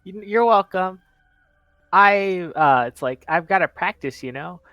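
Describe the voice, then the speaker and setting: A man's voice: sits high, animated, measured pace, pushed and bright, no echo, lo-fi sound, heavily acted. A man voicing a kind, eager teenage boy in a tabletop game, earnest, polite and a little rambling. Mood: grateful, modest.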